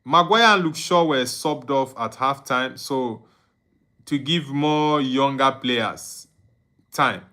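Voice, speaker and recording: A man's voice: mid-range voice, takes it slowly, sharp tone. A young man from Nigeria hosting a live football watchalong, chatting casually, reading viewer comments aloud and humming along. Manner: reads viewer comments aloud, chuckles, hums and sings under his breath. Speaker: watchalong streamer.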